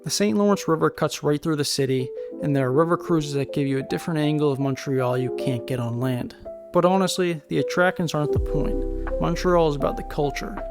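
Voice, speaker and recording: A man giving a steady, informative countdown in scripted travel narration. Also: fairly high pitch, a little fast. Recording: voice-over with guitar music behind.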